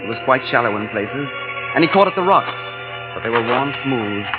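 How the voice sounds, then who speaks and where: A reserved, politely clipped explorer played by a man tells his tale in a measured way. The sound is muffled like a vintage radio play, with music clearly heard under the voice. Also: medium pitch, animated, close to an everyday voice. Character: weary feverish male explorer, polite and reserved. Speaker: narrator and lead in radio drama. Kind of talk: 1940s radio acting.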